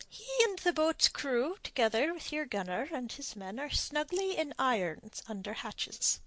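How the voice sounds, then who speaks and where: A woman's voice: sits high, very animated, measured pace, fairly bright tone, no echo, pitched above the performer's own voice, full character voice. A woman reading an audiobook and voicing a self-assured, mocking sea captain with a dry wit. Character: sardonic witty Irish sea captain, man. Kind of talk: audiobook character voice.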